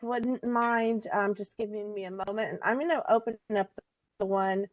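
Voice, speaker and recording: A woman's voice: medium pitch, steady tone, normal speed, low-quality recording. A woman co-facilitating an online meeting, talking casually to the participants as she handles the technical side. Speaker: co-facilitator running the slides. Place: online conference call.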